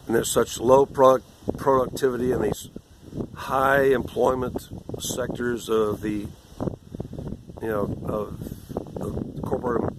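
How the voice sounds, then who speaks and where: Sixties man, rough irregular voice, slow and lowish, condescending rambler, outdoor noise. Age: 60s. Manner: condescending, lecturing, meandering with restarts. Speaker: vlogger. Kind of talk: rambling monologue to camera.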